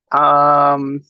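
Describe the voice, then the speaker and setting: A native Spanish speaker from Chile, with a clear Chilean accent, talks slowly through a live online panel. The delivery is hesitant, full of fillers, restarts and small nervous laughs. The sound is dry and dull, like lo-fi stream audio. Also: mid-range voice, dry recording.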